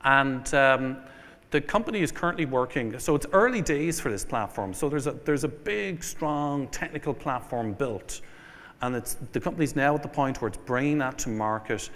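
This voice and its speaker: A man with an Irish accent giving a conference keynote, setting out points in a measured way with fillers and restarts. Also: medium pitch, animated, a bit bright. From Ireland. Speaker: keynote speaker. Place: conference hall. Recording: long hall reverb.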